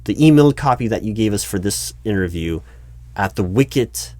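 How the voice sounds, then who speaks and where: A man playing a baffled, exasperated recruiting manager in a one-sided ASMR job interview roleplay, speaking aloud with halting, repeated words. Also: lowish voice, lively intonation, darkish tone, no room sound, close to an everyday voice. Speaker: roleplay performer.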